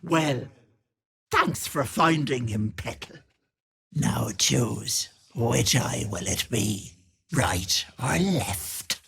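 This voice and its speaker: A woman playing a sly old hag witch in a video game, with an Irish accent and a husky, raspy voice that wheedles sweetly and turns menacing. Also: rumbling low, animated, drawn-out words, noisy background, exaggerated voice. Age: older. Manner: wheedling endearments turning to menace. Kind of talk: video game character acting.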